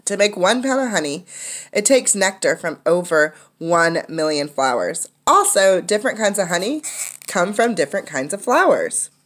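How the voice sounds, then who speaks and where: A woman's voice: fairly low pitch, very animated, moderate pace, a bit bright. A woman teacher reading a children's nonfiction book aloud, adding explanatory asides for young listeners.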